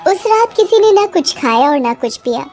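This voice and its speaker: A woman's voice: extremely high pitch, very animated, moderate pace, a little mellow, faint music behind. A woman reading scripted, dramatic story narration.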